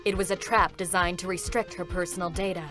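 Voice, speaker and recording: A woman's voice: lowish voice, little pitch movement, fairly quick, a bit bright, soft background music. A woman giving composed scripted narration at a live reading.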